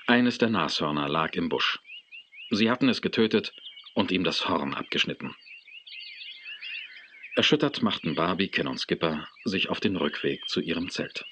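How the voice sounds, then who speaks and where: A man narrating a children's audio drama in a measured storytelling manner. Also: deep voice, expressive, fairly bright tone, a little hiss or hum.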